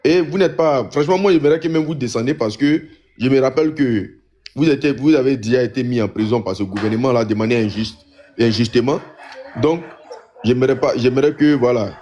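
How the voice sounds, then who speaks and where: A man's voice: medium pitch, a little fast, dark tone, dull audio. An Ivorian man with an Ivorian accent argues in an impromptu debate, insistent and repeating his phrases for emphasis. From Ivory Coast. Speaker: panel debater in a live online discussion.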